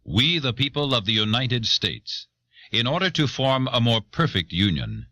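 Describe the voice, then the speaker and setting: Solemn founding-document reader; stately, varied intonation, very dry close sound, documentary. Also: male voice, medium pitch, very animated, moderate pace, bright, forceful tone, no room sound. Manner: stately, declaratory.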